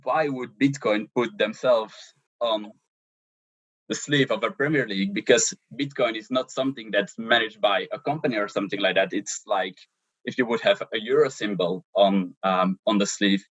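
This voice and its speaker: Man, halting interview answers, low pitch. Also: a bit bright, husky, no echo, muffled recording. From Belgium. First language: Dutch. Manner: hesitant, many ums and restarts. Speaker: social media manager, interview guest.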